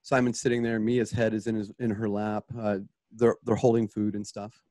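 A man's voice: fairly low pitch, little pitch movement, moderate pace, mellow, no room sound, studio quality. A man casually narrating and directing a radio play, informal and conversational. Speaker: director reading stage directions.

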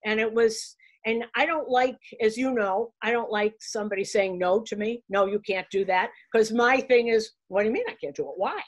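An older woman with a Boston accent, her voice sitting a bit high for a woman. She tells her stories in an animated, chatty way and often restarts mid-sentence. She is heard on a video call from a room at home. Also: normal speed, hardly any pauses. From Boston, USA. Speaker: guest, baseball broadcaster. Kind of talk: casual interview storytelling. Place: room at home, framed pictures on the wall.

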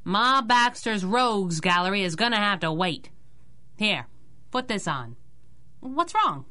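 A woman playing a brash, wisecracking young working woman in a 1930s-style radio drama, slangy and quick-witted. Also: medium pitch, big pitch swings, sharp tone. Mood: exasperated.

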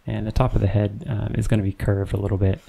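A man narrating his woodworking build in a relaxed, unhurried way, with many ums and restarts. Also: lowish voice, gravelly, breathy edge. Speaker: woodworking channel host. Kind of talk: casual voice-over narration of a build. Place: home woodshop.

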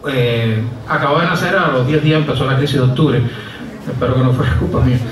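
A man's voice: medium pitch, quick pace, noisy background. A middle-aged Cuban man doing a wry, digressive stand-up monologue, full of hesitations, on an outdoor stage with a stand mic. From Cuba. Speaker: comedian and writer. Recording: echoey live outdoor sound from far off. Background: audience laughing.

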